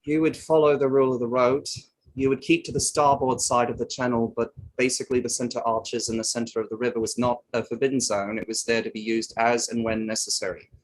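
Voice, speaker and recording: A plain-spoken riverboat crewman played by a man in a play reading gives evidence in a firm, matter-of-fact way. He sits at an average male pitch and answers insistently, a little on the defensive. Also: level tone, a little fast, close to an everyday voice, low-quality recording. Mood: assured. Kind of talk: table read of an inquiry play.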